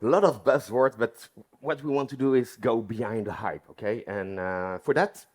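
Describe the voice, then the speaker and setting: A man's voice: mid-range voice, normal speed. A half Dutch, half French man with a French accent, joking around as a conference speaker. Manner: playful, joking. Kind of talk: conference talk. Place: conference hall.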